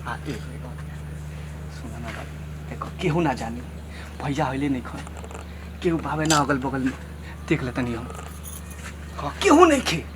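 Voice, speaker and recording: Man playing a high-pitched, pleading young village lad. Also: expressive, unhurried, noisy background. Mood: wheedling. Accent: Bhojpuri. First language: Bhojpuri. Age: young adult. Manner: wheedling, boastful, repetitive. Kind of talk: comedy skit dialogue.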